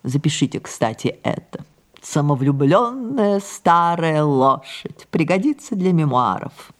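A woman's voice: low voice, animated, measured pace. An older woman playing a self-absorbed, imperious and wistful ageing stage actress in an audio play, with theatrical delivery. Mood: grandly self-mocking. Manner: theatrical, declamatory, dramatic hushed passages. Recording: music behind the voice.

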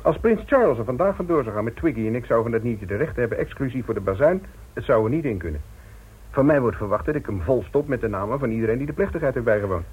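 A man's voice: mid-range voice, animated, quick pace, breathy edge, phone-quality sound. An actor in a Dutch radio play, playing a cynical, jovial male small-town newspaper editor with a chatty, wry, blustering manner. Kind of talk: Dutch radio drama acting.

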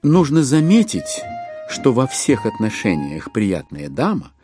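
A male narrator with a mid-pitched, highly animated voice delivers scripted literary prose. His manner is wry and theatrical, and faint music sits behind him. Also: measured pace. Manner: expressive, wry.

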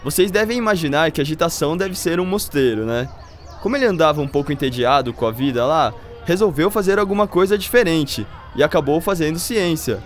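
Man playing higher-voiced, informal storyteller. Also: animated, a little fast, soft background music, natural voice. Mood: easygoing, explanatory. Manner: colloquial, explanatory. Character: friendly informal storyteller teaching a young boy. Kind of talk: conversational storytelling in radio drama.

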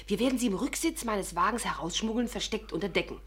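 An actress playing a resolute, secretive woman rescuer, speaking in a hushed, conspiratorial manner in a 1950s radio play. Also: medium pitch, animated, fast talker, slight background noise, close to an everyday voice. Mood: urgent, conspiratorial.

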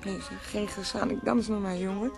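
An actress playing a tipsy, teasing, dreamy wife in a Dutch radio play, speaking haltingly and repeating her words. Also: medium pitch, fairly even intonation, vocal fry, lightly acted. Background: music playing.

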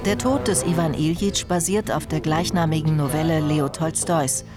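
A woman's voice: deep voice, moderate pace, over loud music. A woman reporter reading scripted narration for an arts feature.

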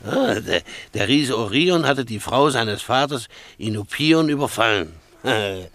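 An actor playing a wealthy, irritable Greek shipowner in a German radio play, starting hesitantly and scoffing. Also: male voice, medium pitch, animated, unhurried, fairly bright tone, dry recording. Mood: mocking.

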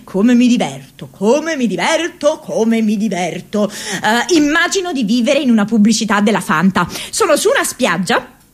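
A young woman in a radio play, high in pitch and swinging widely in melody. She is impulsive, lovelorn and self-mocking, telling her story theatrically and with open emotion. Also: sing-song, normal speed, breathy edge. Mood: wry, excited. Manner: theatrical, emotional, with repeated phrases. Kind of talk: dramatic monologue, radio theatre acting.

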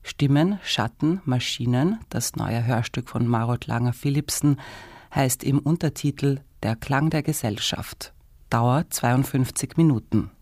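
A man's voice: mid-range voice, measured pace, darkish tone, dry recording. A man reading a scripted radio introduction as an announcer, close to a studio microphone.

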